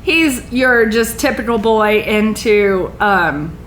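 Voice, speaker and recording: A woman's voice: medium pitch, slow pace, fairly bright tone. A chatty, enthusiastic woman hosting a casual interview on a theater stage. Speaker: podcast host. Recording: roomy echo, faint background noise.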